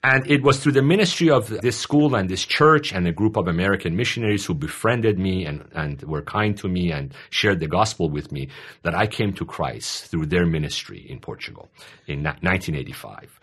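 A man in his forties from Iran, a guest in an interview, telling his story in a measured, explanatory way. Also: low-pitched, lively intonation, a bit bright, husky. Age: 40s. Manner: measured, explanatory, with frequent uh fillers. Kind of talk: interview conversation.

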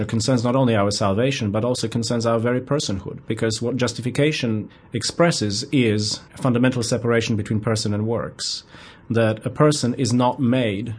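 Husky Polish-accented man, measured and thoughtful. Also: fairly low pitch. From Poland. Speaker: guest professor. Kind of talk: academic interview.

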